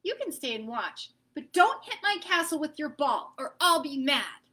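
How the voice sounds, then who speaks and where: A prim little cupcake girl from a storybook warns and bosses in a high, bright voice, as a woman acts her out. She sounds fussy and sure of herself. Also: sing-song, normal speed, heavily acted. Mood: bossy.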